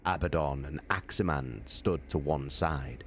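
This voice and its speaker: A man streamer giving a slow, deliberate dramatic reading of a novel. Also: very deep voice, a little hiss or hum. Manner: slow, deliberate, drawn-out phrasing. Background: faint background music.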